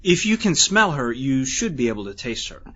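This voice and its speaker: Man playing a curious confidant, sharp voice, lively pitch, musing, audio drama, muffled recording. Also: normal speed, slightly rough, exaggerated voice.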